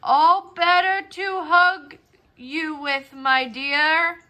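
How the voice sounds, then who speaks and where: A woman voicing a tricky, hungry old male wolf in a storybook tale, coaxing slowly with false sweetness. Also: sits high, steady tone, sharp tone, voice pushed up, heavily acted. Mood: falsely sweet.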